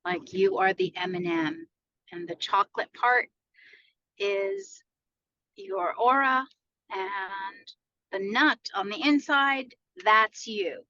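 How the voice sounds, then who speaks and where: A woman leading an unscripted guided meditation in a soothing, unhurried, gently instructing manner. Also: on the high side, animated, gravelly, slight background noise. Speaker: meditation leader. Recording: echoey room, slightly dull lo-fi sound.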